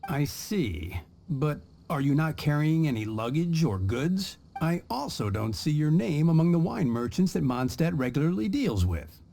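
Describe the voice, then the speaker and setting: A man's voice: fairly high pitch, big pitch swings, normal speed, creaky, full character voice. A man voicing a cautious, rule-bound gate guard in a video game, formal and hesitant when unsure. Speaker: city guard. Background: soft game music.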